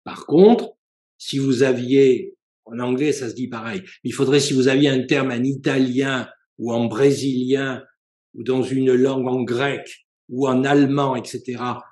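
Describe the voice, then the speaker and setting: A man, a professor and psychoanalyst, giving a seminar lecture in a measured, explanatory way, with frequent hesitations and self-corrections. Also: medium pitch, fairly even intonation, a little mellow, reverberant. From France.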